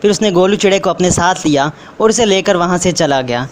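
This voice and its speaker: A woman's voice: lowish voice, a little fast, slight background noise. A woman narrating a scripted children's story.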